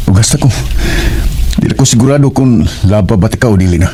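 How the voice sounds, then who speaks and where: A man's voice: lowish voice, animated, fairly quick, mellow, close to an everyday voice. An actor playing an earnest, worried young man in love in a radio drama, tender and pleading, with a Cebuano Filipino accent. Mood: resigned. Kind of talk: emotional radio drama acting. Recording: noisy radio drama track with ambience.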